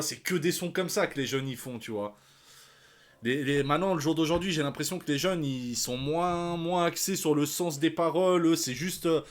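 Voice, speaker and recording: A man in his thirties giving casual reaction commentary, talking in slang with lots of sighs, fillers and restarts. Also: a little high. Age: 30s. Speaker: reaction channel host.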